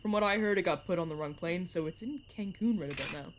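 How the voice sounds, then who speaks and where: A woman's voice: fairly low pitch, fast, slight background noise, lightly acted. A woman voice actor playing a playful, sarcastic young vampire mentor who chats and teases in an audio roleplay. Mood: friendly, teasing. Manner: teasing, sarcastic, chatty. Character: playful, teasing vampire mentor, turned at nineteen. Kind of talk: narrative audio roleplay, one-sided conversation.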